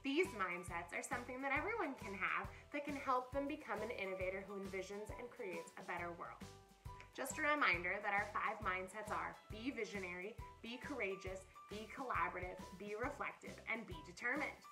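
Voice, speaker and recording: An American woman in her thirties hosting a children's storytime with animated enthusiasm, presenting and reading aloud in a room at home. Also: mid-range voice, brisk, over music. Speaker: camp director hosting a children's story corner. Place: small furnished room at home, indoors.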